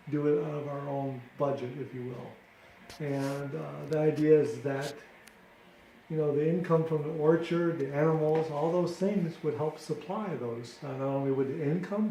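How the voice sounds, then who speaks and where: A middle-aged American man from Detroit giving earnest, deliberate explanations in an informal interview. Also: a little high, dark tone. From Detroit, United States. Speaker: interviewee, ministry founder. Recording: roomy echo with clear background noise.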